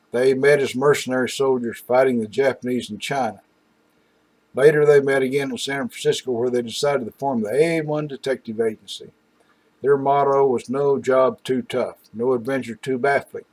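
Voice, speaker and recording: Husky-voiced man hosting casually. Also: medium pitch, a little mellow, low-quality recording. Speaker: radio show host. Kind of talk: casual rambling commentary.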